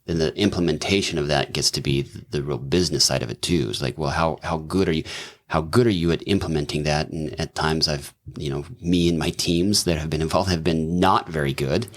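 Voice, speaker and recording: Middle-aged male guest, very low voice, musing delivery, lots of ums and restarts. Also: fairly even intonation, fairly quick, hardly any pauses. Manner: thoughtful, rambling, many fillers and restarts. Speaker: doctor and businessman, podcast guest. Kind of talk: casual conversational interview.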